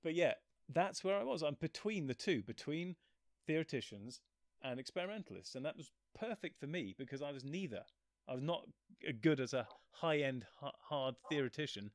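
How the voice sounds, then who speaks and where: A man's voice: fairly high pitch, animated, normal speed, no room sound. Self-deprecating man chatting, close dry sound. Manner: rambling, self-deprecating, often breaking off mid-thought. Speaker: interview guest; physicist and author. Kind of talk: casual chat.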